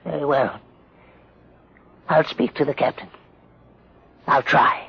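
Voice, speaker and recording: A man's voice: on the high side, very animated, slow pace, gravelly, heavy background noise, heavily acted. An actor playing a nervous, flustered male council member, a fretful uncle in a 1950s radio drama. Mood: flustered, reluctant. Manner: flustered, hesitant. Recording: dull lo-fi old radio recording.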